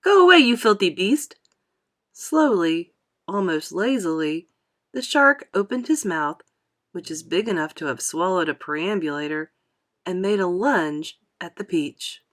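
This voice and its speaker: Slow, expressive woman reading a storybook. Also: fairly low pitch. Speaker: storyteller, classroom read-aloud reader. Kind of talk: expressive children's audiobook narration.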